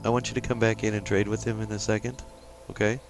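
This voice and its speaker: A man's voice: lowish voice, flat delivery, fast, soft background music. A man co-hosting a gameplay video in casual chat, directing his partner in a matter-of-fact way. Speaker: gaming video co-host and player.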